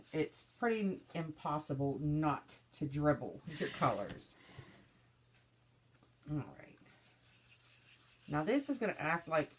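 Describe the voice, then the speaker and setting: Woman, deep for her sex, stuffy, slightly hoarse, chatty crafting demo, faint background hiss. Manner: chatty, narrating each step as she works. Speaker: soap maker demonstrating her craft. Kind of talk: casual step-by-step demonstration.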